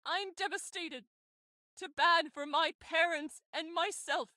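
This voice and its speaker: A woman's voice: extremely high pitch, steady tone, slow pace, bright, forceful tone, no echo, studio quality, full character voice. A woman voicing an unhappy, reproachful young wife in a visual novel, with stilted, plaintive delivery. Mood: reproachful, distraught. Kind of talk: scripted visual novel dialogue.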